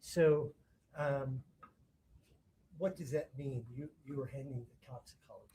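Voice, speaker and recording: Mellow-voiced man questioning a witness. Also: medium pitch, slight background noise, rough recording. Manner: halting, rephrases questions mid-sentence. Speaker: prosecutor. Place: courtroom.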